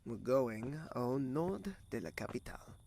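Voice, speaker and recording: Casting: young royal suitor, mid-pitched with gravelly fry, formal, tender, audio drama. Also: male voice, lively intonation, unhurried, a little hiss or hum. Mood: tender, amused. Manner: formal, gentle, sprinkles French phrases. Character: courteous, regal young prince in love.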